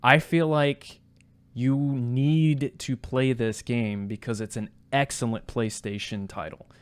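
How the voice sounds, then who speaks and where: A man's voice: mid-range voice, steady tone, slow, clear, resonant tone. A man co-hosting a podcast, chatting informally about a game and peppering his opinions with "like". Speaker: podcast co-host. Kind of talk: casual video game review discussion.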